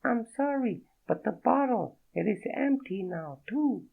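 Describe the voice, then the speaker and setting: A coy, tipsy young Frenchwoman with a French accent, slurring a little in a high, airy register far above the reader's own, as a male audiobook narrator puts her on. The sound is thin and tinny, like a phone line. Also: lively intonation, measured pace, vocal fry, exaggerated voice. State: drunk, slurring.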